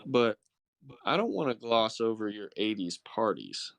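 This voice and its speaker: A man's voice: on the low side, sing-song, unhurried, gravelly, a bit bright, no echo, clean studio sound. A man hosting a podcast in casual, slangy chat, speaking in an earnest, reflective way. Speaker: podcast host.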